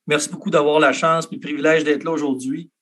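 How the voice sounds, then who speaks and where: Energetic Quebec French man on a call. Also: a little high, quick pace. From Canada. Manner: energetic, with many fillers and restarts. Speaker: network marketing speaker. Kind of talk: motivational pitch on a video call. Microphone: video call.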